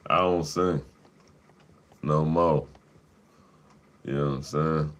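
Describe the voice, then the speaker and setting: Deep-voiced slow-talking man. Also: fairly even intonation, highs cut off. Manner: laid-back, profane, laughs often. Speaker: livestream host. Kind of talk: casual livestream chat.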